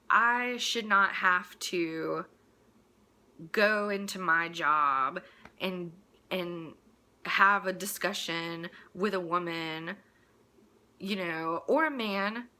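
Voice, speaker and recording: A woman's voice: on the low side, animated, slow, pushed and bright. A woman in a casual, opinionated rant, speaking in a blunt, crude and exasperated manner with mocking asides. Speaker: host delivering a personal rant.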